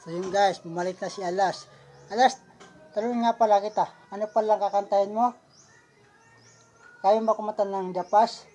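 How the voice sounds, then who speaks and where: A woman's voice: mid-range voice, steady tone, moderate pace, pauses often, mellow, soft background music. A woman with a Filipino accent hosting a puppet show vlog, chatting casually to viewers. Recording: dull lo-fi audio.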